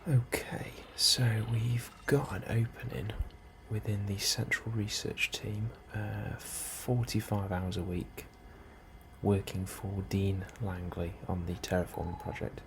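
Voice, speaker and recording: A man doing a soft, breathy ASMR role-play as an unhurried, politely understated officer interviewing a newcomer. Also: on the low side. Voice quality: soft, breathy, often near whisper. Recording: steady ambient noise behind the voice. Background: computer and ambience sounds.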